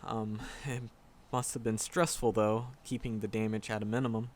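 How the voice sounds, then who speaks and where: A man's voice: on the low side, level tone, slight background noise, close to an everyday voice. A man playing a shy, flustered magic professor in a roleplay audio drama, stammering and nervous as he talks to a secret crush. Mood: nervous admiring. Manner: nervous, halting, stammering, rambling. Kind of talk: roleplay audio drama, intimate one-sided dialogue.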